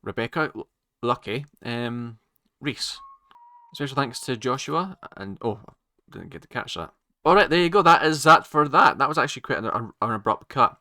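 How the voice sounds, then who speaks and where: A man hosts a casual reaction video in a bright, forceful voice. He talks haltingly, rambling and doubling back on his own phrases. The sound is dry, with hardly any room in it. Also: mid-range voice, expressive, no room sound. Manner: halting, rambling, circles back to repeat himself. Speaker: reaction channel host.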